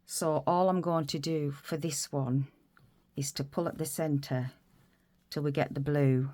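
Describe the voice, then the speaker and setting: A woman's voice: sits low, fairly even intonation, measured pace, dark tone. A woman giving a casual crochet tutorial, stuffy from a cold and chatty, wandering off mid-sentence. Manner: chatty, rambling, self-interrupting.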